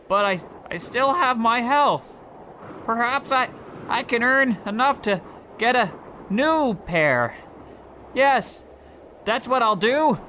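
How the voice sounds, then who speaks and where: A man performs a meek old clerk in a high, slow voice, hesitant and long-winded with earnest naivety. It is radio comedy acting, set against clearly audible street and traffic noise. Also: bright, forceful tone, full character voice. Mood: forlorn, resolute. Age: older. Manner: hesitant, stammering, wordy and earnest. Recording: noisy outdoor street ambience with traffic.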